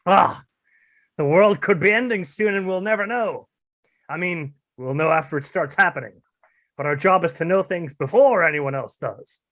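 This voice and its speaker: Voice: mid-range voice, moderate pace, a bit bright, close to an everyday voice. A performer playing a self-important, melodramatic news anchor who babbles and stretches words for emphasis in a comic radio play. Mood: wistful, babbling.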